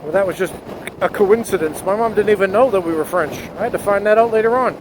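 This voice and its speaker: Motovlogger, male, high voice, earnest and fervent, helmet mic with wind noise. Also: fast talker. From United States. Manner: earnest, rising to patriotic fervor. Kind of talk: spoken essay to camera. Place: on a motorcycle outdoors. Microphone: helmet headset mic.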